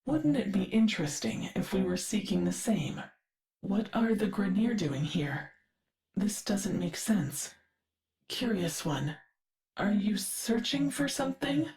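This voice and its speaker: A woman playing a curious, forgetful artificial mind in a video game, an archivist who speaks formally and precisely with measured detachment. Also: mid-range voice, lively intonation, gravelly, a little mellow, reverberant. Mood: confused, searching. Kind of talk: scripted video game character lines.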